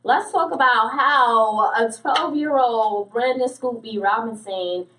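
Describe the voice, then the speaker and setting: A woman's voice: on the high side, takes it slowly, a bit bright. An American woman hosting an interview in a casual, conversational way. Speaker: interviewer, host. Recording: slightly distant mic.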